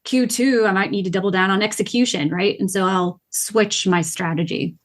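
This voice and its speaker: Upbeat woman podcast guest, mid-pitch, lively storyteller; casual interview voice. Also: normal speed. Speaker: podcast guest, gamification coach.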